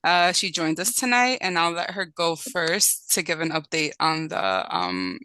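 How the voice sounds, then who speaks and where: Parks staffer woman, low-ish but bright voice, dry close sound, short answers. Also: expressive, a little slow, clear, resonant tone, no echo. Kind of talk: meeting answer.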